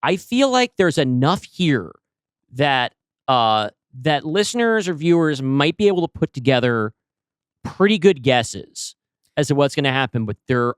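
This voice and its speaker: A man's voice: mid-range voice, sing-song, unhurried, smooth, dry recording, clean studio sound. A man co-hosting a podcast, talking casually about storytelling. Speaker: podcast co-host. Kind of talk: casual chat.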